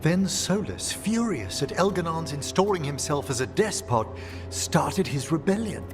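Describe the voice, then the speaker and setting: Precise, measured scholar for a fantasy game, mid-pitched, heavy reverb with music behind. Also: sing-song. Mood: measured, thoughtful. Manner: formal, measured phrasing. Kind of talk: fantasy video game dialogue.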